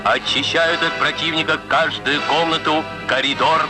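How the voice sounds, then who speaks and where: A man's voice: fairly high pitch, fairly even intonation, normal speed. A man narrates a 1950s Soviet military training film in a formal, declarative, instructional manner. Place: voice-over recording for a film. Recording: 1950s film soundtrack, loud music and battle noise. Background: loud music, gunfire and artillery.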